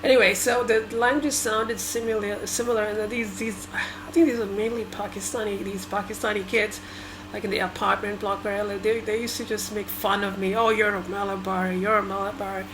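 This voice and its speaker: A woman from Sri Lanka whose first language is Tamil, talking through her thoughts in a halting, hesitant way with many restarts. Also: medium pitch, level tone, noisy background. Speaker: vlogger. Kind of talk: personal reflective monologue.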